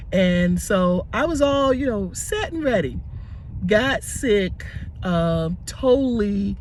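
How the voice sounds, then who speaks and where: A man in his sixties, hoarse and raspy from tonsillitis, rambling earnestly through a daily gratitude vlog. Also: high voice, a little hiss or hum. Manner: rambling and earnest, many fillers and restarts. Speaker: gratitude vlogger. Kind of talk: casual spoken faith vlog.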